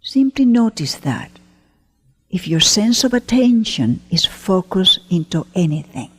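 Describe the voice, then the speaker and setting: A woman leading a guided meditation as a teacher, gentle and unhurried, pausing often between short phrases in a breathy voice. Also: medium pitch, big pitch swings. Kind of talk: guided meditation, spoken slowly to listeners. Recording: long hall-like reverb.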